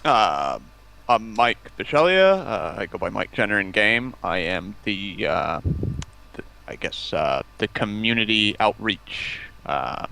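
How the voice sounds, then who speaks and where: A man's voice: low-pitched, creaky, low-quality recording. A man speaking casually as an interview guest, explaining things in a hesitant way. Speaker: interview guest, community outreach manager. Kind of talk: casual self-introduction.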